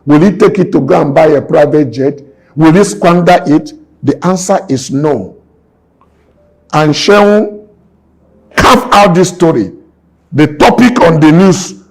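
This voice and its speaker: Husky man in his sixties. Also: fairly high pitch, rough recording. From Nigeria. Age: 60s. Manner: emphatic, preacher-like, repeats points. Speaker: businessman guest. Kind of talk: impassioned interview talk.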